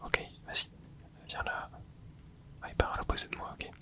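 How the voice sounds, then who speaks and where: A man hosting an ASMR channel, whispering soft, casual commentary to himself as he plays a video game, full of gamer slang. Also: moderate pace. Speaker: ASMR gaming channel host.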